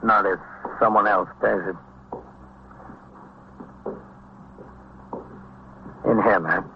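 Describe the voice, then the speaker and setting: Methodical police captain, old radio drama, deep-ish n matter of fact, muffled w some hiss. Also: male voice, fairly even intonation, moderate pace, vocal fry. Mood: dry. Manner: dry, matter-of-fact, businesslike. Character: calm, methodical male police precinct captain. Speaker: actor and narrator in a radio drama. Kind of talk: vintage police radio drama, clipped procedural delivery. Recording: dull, noisy vintage radio recording.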